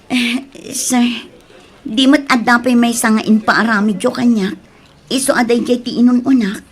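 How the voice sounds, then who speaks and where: Casting: actress as frustrated, dutiful elder sister around thirty, high voice, Ilocano accent, reproachful audio-drama delivery. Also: female voice, steady tone, normal speed, slightly rough. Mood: tired, resolute. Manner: exasperated, reproachful.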